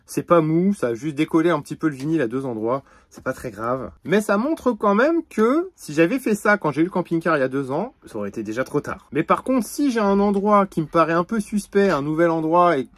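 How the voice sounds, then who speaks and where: Casual, higher-pitched man presenting to viewers. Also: sing-song, fairly quick, few pauses, no room sound. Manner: informal, slangy, joking. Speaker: camper van vlogger testing a product. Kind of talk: casual product demonstration to viewers.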